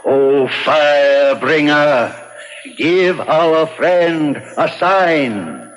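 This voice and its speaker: An actor playing a solemn, grandiose zealot prophet invoking the gods in an incantatory manner, in 1970s radio drama. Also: male voice, on the high side, expressive, drawn-out words, faint music behind. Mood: solemn, exalted. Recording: noisy 1970s radio recording.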